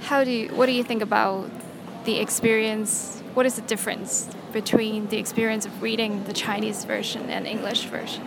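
A woman's voice: medium pitch, animated, normal speed, vocal fry, hardly any pauses. Woman asking interview questions, noisy background. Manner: prompting, follow-up questions. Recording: noticeable background noise.

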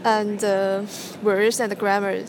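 A young woman from a Cantonese-speaking background with a Hong Kong accent, answering interview questions in English with hesitant pauses and restarts. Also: medium pitch, level tone. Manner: hesitant, many restarts and hums. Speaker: interviewee, student. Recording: noticeable background noise.

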